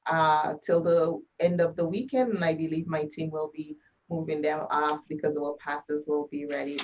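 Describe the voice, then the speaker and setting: A woman hosting an online summit and introducing a speaker, relaxed, with many filler pauses. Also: on the low side. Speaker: event host. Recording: dull audio from a distant mic.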